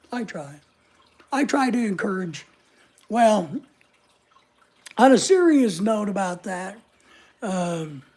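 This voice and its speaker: A middle-aged man with a voice high enough that strangers take it for a woman's. He chats loosely with viewers, joking at his own expense. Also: darkish tone. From United States. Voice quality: high voice often mistaken for a woman's. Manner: chatty, joking, self-mocking. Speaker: channel host reading live chat. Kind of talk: casual livestream chat.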